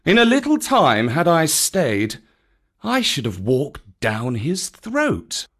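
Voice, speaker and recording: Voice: mid-range voice, huge pitch swings, slow pace, a bit bright, voice pushed up, exaggerated voice. A narrator voicing a grave, stern panther. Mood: shaken.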